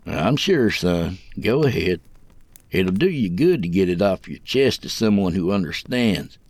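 A man voicing a calm old jailer who talks in folksy rural phrases, coaxing and conspiratorial, in a narrated story. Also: sits low, a little slow, dry recording. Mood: sympathetic, sly.

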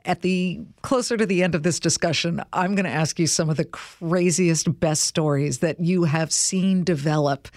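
A woman's voice: lowish voice, moderate pace, few pauses, darkish tone, dry recording, studio quality. A woman hosting an interview, asking questions in a chatty, opinionated way with asides and restarts. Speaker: interview host. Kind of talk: conversational interview.

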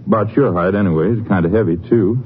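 An actor playing a folksy, evasive country feed dealer in a 1950s radio western, with a hedging, drawn-out drawl. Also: male voice, fairly low pitch, breathy edge. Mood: evasive, hesitant. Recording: tinny, noisy old radio recording, hum.

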